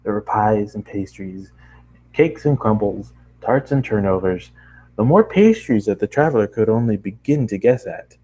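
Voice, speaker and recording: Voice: medium pitch, expressive, normal speed, mellow, breathy edge, low-quality recording. A narrator reading fan fiction aloud in a storybook style, with casual asides.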